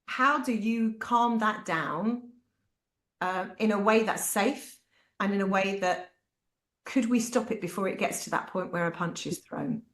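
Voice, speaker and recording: A woman with a British accent, a parent giving candid, animated advice to other parents. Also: mid-range voice, a little slow. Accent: British English. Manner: animated, acts out quoted voices. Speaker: parent speaker. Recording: echoey room.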